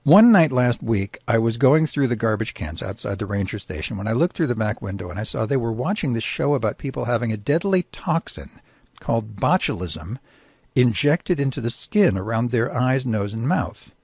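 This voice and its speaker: A man's voice: mid-range voice, lively intonation, normal speed, a little mellow, breathy edge, dry recording. A man voicing a squeamish male grizzly bear in a comic story, earnestly and indignantly explaining himself.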